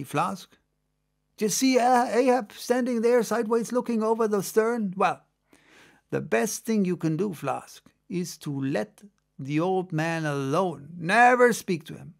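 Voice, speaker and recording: A man playing an excitable second mate on a sailing ship, spinning a yarn in a dramatic audiobook reading. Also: high-pitched, creaky, heavily acted. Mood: conspiratorial. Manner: conversational, exclamatory storytelling.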